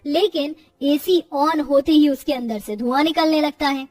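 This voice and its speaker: A woman's voice: high-pitched, moderate pace, darkish tone, dry recording, clean studio sound, recorded from a distance. A woman narrator reading a scripted cartoon story.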